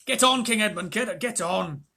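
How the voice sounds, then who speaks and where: A grumpy male dwarf soldier, sulky and blunt, muttering low in a storybook reading. The voice is slightly husky and bright, put on by a man reading aloud. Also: fairly quick. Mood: grumbling.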